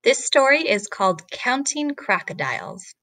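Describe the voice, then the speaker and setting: A woman reading a picture book aloud to children like a teacher, with deliberate, expressive, rhythmic phrasing. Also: fairly high pitch, no echo.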